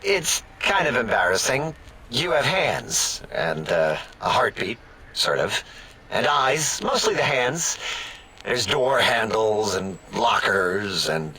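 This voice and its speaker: A man's voice: medium pitch, sing-song, slow, bright, forceful tone, slightly rough, exaggerated voice, low-quality recording. A man voicing an awkward, easily flustered robot scientist in a comic video game, hesitant and rambling. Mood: sheepish. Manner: hesitant, rambling, self-correcting.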